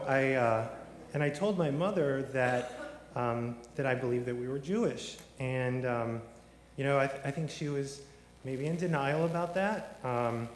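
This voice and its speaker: A man's voice: mid-range voice, slight background noise. A man telling his personal story at a service, rambling with many ums and restarts and slipping in wry jokes. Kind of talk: informal testimony at a service. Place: large synagogue sanctuary. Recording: echoey hall with long reverb.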